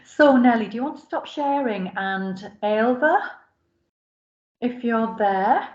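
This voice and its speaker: A woman's voice: a little high, lively intonation, takes it slowly, a little mellow. A woman with an Irish accent informally moderating an online seminar as its host. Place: online video call. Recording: roomy, dull lo-fi call audio.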